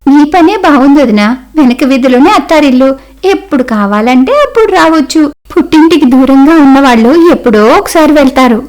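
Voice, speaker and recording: A woman's voice: sits high, animated, brisk, a little mellow. A woman voicing a polite, dutiful young daughter-in-law with a deferential manner in a cartoon moral story.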